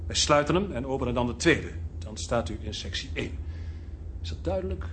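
Brusque security chief, condescending, animated pitch, crime radio drama, faint noise. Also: male voice, fairly quick. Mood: sardonic, ordering. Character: male security chief, brusque, smug, controlling. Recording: noisy archived radio drama recording.

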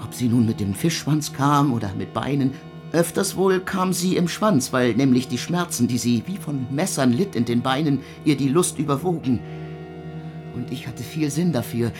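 Ein Mann spielt einen gequälten Komponisten, der in einem Hörspiel in altertümlichem Deutsch feierlich und stockend seine Sünden beichtet, mit heiserer Stimme. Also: male voice, mid-range voice, music in the background, close to an everyday voice. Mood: confessional, wistful. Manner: solemn, archaic, halting confession. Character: tormented composer confessing his sins in archaic German. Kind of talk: radio play monologue.

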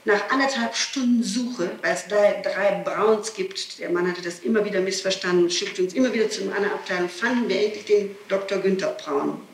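A woman's voice: mid-range voice, fairly quick, hardly any pauses. A woman speaks on an old interrogation tape, sounding distant in an echoing room with faint hiss. She is insistent and agitated, firing off rhetorical questions. Her sentences often break off and start again as she pushes her points. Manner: digressive, breaks off and restarts sentences. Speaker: person being questioned by police. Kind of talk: spontaneous statement in an interrogation. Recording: old archive tape, roomy, distant, hissy.

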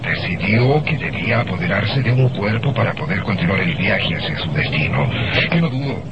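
An earnest naval lieutenant with a lively, swooping delivery. He explains insistently in old radio drama style, on a dull recording thick with noise. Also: fairly low pitch. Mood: earnest, urgent. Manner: emphatic, explanatory, halting when excited. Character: earnest male navy lieutenant, insistent theorist of the uncanny.

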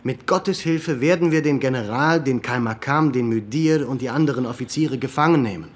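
An actor playing a resolute military leader in a radio play, talking in a firm, deliberate and commanding way. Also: male voice, medium pitch, slightly rough, natural voice. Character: resolute male military leader planning a raid. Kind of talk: German radio play acting.

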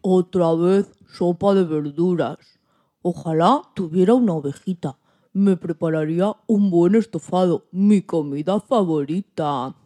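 A woman acting a grumpy, hungry old male wolf with a soft heart in a children's radio play, theatrical and full of exclamations. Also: lowish voice, a little slow, natural voice. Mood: grumbling, hungry.

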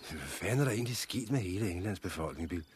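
A man's voice: low voice, lively intonation, slight background noise. A man acting in a 1960s radio drama as a blunt, sardonic, swearing survivor of a catastrophe. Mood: troubled. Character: blunt, cynical, swearing male survivor of a plant apocalypse.

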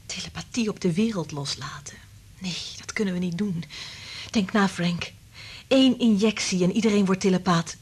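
An actress playing a sober, troubled woman scientist in a Dutch radio drama, speaking in a measured, serious way. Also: medium pitch, slightly rough, natural voice. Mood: grave, hushed.

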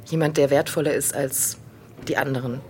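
Brief: actress for blunt, provocative woman in radio drama, very low-pitched, detached delivery, light background noise. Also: fairly even intonation, normal speed, close to an everyday voice. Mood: matter-of-fact, confiding. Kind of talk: naturalistic German radio drama dialogue.

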